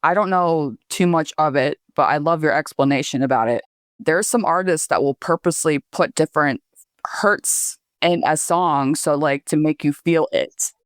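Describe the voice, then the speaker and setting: Woman podcast cohost, low voice, casual chat, dry sound. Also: normal speed, no echo.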